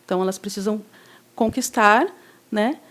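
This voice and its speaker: A woman's voice: mid-range voice, normal speed, a bit bright. A woman speaking as an interview guest, a housing movement coordinator, explaining in a casual, hesitant way with frequent fillers.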